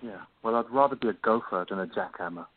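A man's voice: fairly low pitch, fast, background noise, lightly acted. A man playing a devoted fiancé who can get testy, in an amateur radio play. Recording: tinny, phone-like sound.

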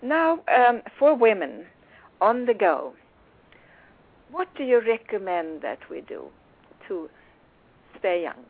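The sound is narrow and tinny, like a phone line on the radio. A woman with a Swedish accent hosts a casual interview. She speaks haltingly, often repeating a word before moving on. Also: mid-range voice, sing-song, breathy edge. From Sweden. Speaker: radio show host.

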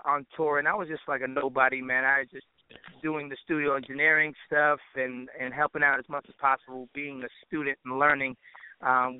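Man, slightly high voice, casual slangy interview guest, conversational, dry close sound. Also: clear, resonant tone, no room sound, narrow, tinny audio. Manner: informal, slangy, frequent "you know" and "man". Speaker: interview guest, musician and producer.

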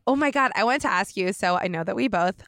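A young woman hosting a casual podcast interview, candid and quick to laugh, swearing freely. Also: medium pitch, animated, a bit bright, no echo, studio quality. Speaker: podcast host. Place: comedy shop at a party.

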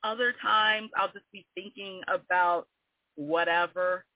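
A woman's voice: on the low side, steady tone, unhurried, dry recording. A woman in her forties with a Southern accent touched by New Orleans, a guest poet chatting openly and laughing often in an interview. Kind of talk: casual interview conversation. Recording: tinny phone-line audio.

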